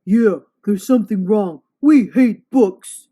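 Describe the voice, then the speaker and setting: A big storybook monster played by a woman, loud and blunt, always hungry and grumbling. It has a childish, grumpy temper and booms out its complaints. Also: takes it slowly, breathy edge. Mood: grumpy, menacing. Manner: blunt, booming exclamations.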